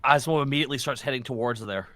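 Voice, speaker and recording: A man's voice: mid-range voice, rapid-fire, fairly bright tone, dry recording. A man playing a tabletop role-playing game, talking casually and describing what his character does. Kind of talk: animated casual game chat.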